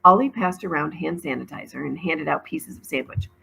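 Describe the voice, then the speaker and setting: A woman's voice: sits low, expressive, brisk, creaky, loud music behind the voice, poor audio. A woman, a teacher, reading a children's novel aloud as narrator. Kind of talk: storybook read-aloud narration.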